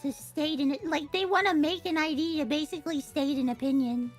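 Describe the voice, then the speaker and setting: A woman's voice: high voice, a little fast, soft background music. A young woman chatting casually as a guest on a stream, speaking bluntly. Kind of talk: casual chat.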